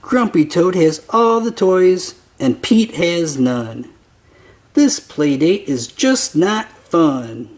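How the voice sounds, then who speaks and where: An adult reading a picture book aloud in an expressive narration style meant for young children. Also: medium pitch, slow pace. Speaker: children's storybook reader.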